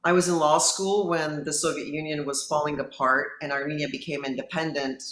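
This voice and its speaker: A woman's voice: deep voice, steady tone, a little fast, a bit bright. A woman in her fifties from Lebanon, a judge, telling her personal story in an earnest, reflective way in an interview. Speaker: judge and guest. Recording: roomy, echoey sound.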